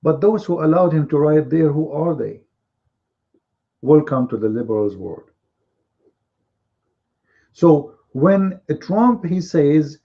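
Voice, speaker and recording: A man whose first language is Arabic, hosting a live online commentary show, with emphatic, repetitive speech and drawn-out stresses. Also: a little high, gravelly, muffled recording.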